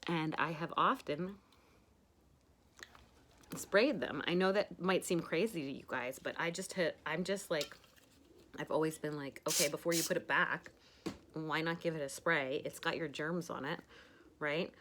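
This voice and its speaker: A woman's voice: deep voice, a little slow, long pauses, a bit bright, a little hiss or hum. A woman hosting a casual at-home makeup tutorial, chatty and rambling with many fillers.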